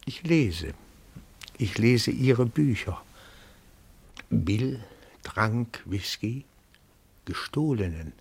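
An actor playing a timid, reverent elderly retired bookkeeper, pedantic and deferential, with a gravelly, breathy voice in a German radio play. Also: male voice, fairly low pitch, exaggerated voice. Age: older. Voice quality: gravelly, husky, often breathy. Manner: deferential, pedantic, slow and careful.

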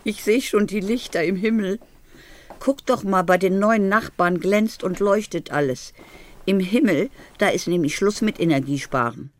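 A woman's voice: mid-range voice, moderate pace, natural voice. An older woman playing a dying grandmother in a radio play, blunt, wry, feisty and plain-spoken.

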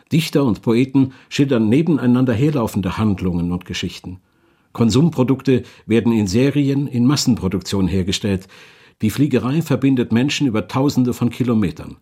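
A man with a raspy voice reading scripted narration for a radio documentary. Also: fairly low pitch, warm tone.

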